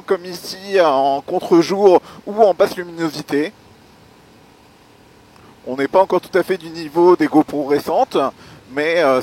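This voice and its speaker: Husky, higher-pitched Frenchman reviewing gear. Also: darkish tone. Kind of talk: spoken product review while riding.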